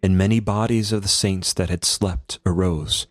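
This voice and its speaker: A man with a low, deep voice reads devotional prose in a grave, measured way. His delivery is formal and solemn, like a priest reading scripture aloud, and the sound is dry and close, with very little room. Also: fairly even intonation, dry recording.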